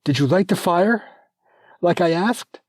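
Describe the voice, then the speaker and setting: A man's voice: on the high side, slow pace, darkish tone, husky, no room sound, studio quality, pitched above the performer's own voice. A man voicing a strong-willed noblewoman in labor, weary and demanding. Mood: strained.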